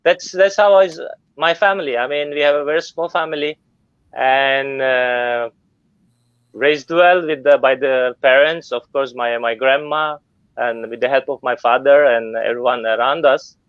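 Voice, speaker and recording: A man's voice: medium pitch, steady tone, unhurried, a bit bright, clean tone, no room sound. A middle-aged man from Turkey whose first language is Turkish, speaking English with many fillers and restarts as a guest in an online interview. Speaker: guest business owner. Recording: dull lo-fi sound.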